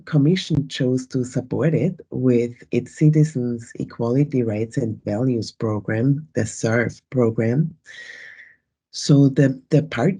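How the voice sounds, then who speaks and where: Slow, upbeat Austrian-accented woman moderator. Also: a bass voice, dark tone, breathy edge. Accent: Austrian German. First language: German. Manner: upbeat and welcoming, greets the audience. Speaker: event moderator. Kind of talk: online event moderation. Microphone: video call.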